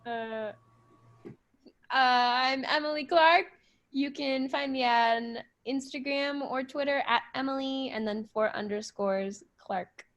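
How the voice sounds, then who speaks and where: A woman's voice: high-pitched, takes it slowly, a bit bright. A woman performer on a podcast, talking in casual, conversational chat.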